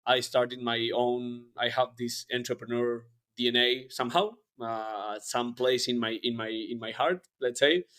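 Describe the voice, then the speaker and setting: A man's voice: mid-range voice, steady tone, a little slow, hardly any pauses, fairly bright tone, smooth. A man, speaking English as a podcast guest in a rambling, casual way with many fillers. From Argentina. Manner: rambling, frequent fillers and restarts. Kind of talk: casual interview chat.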